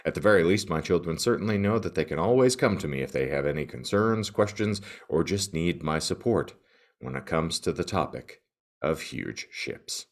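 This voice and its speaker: A man's voice: low voice, animated, normal speed. A man playing a worried middle-aged father of teenagers, reading in a heartfelt, mock-solemn way. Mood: earnest concern.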